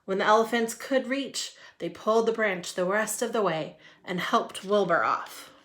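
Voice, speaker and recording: A woman's voice: medium pitch, normal speed, fairly bright tone. A woman reading a children's picture book aloud as a storyteller.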